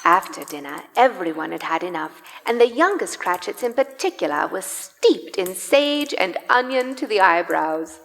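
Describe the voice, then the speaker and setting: A woman's voice: fairly low pitch, animated, normal speed, creaky, hardly any pauses, a bit bright, slight background noise. A woman narrating a Victorian tale as the scripted storyteller of a live radio play. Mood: descriptive. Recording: live in a reverberant church hall.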